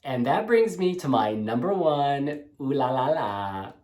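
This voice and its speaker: A man's voice: medium pitch, animated, unhurried, a little mellow. A man hosting a music blog video, gushing and sassy as he casually reviews song demos. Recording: roomy echoey room.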